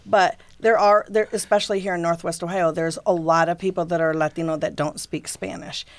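A woman co-hosting a podcast in casual, relaxed conversation. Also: lowish voice, brisk. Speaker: podcast co-host.

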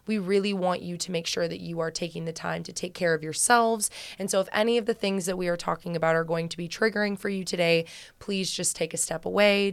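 A young woman co-hosting a podcast, earnest and gushing as she speaks gently with her guest. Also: fairly low pitch, clean tone. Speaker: podcast co-host. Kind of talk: casual podcast interview.

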